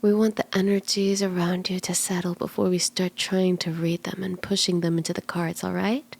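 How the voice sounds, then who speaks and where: A woman voicing a cute, friendly kitsune tarot mystic in an ASMR roleplay, gentle, playful and unhurried, soft-spoken and often slipping toward a whisper. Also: fairly low pitch, little pitch movement, creaky. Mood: calm, hushed. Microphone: close ASMR microphone in a dry space.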